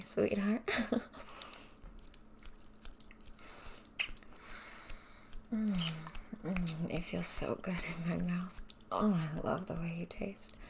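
A woman speaking straight to the listener in a soft, breathy, often whispered voice, dominant and teasing in an intimate audio roleplay. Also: mid-range voice, slight background noise.

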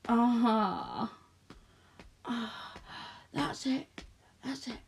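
Slow breathy woman, soft and coaxing. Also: on the high side. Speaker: audio roleplay performer. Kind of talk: intimate scripted audio roleplay.

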